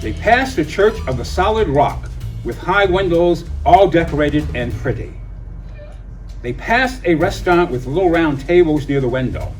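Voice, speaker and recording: Male storybook reader, higher-pitched and animated, expressive children's read-aloud. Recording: distant mic, music behind.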